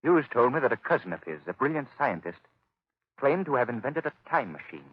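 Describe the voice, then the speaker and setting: Grave old time radio crime fighter, gentleman type, calm n commanding, dramatic, dull vintage recording. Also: mid-range voice, brisk, slightly rough. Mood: grim, resolute. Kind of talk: 1930s radio drama acting. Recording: muffled 1930s radio broadcast recording.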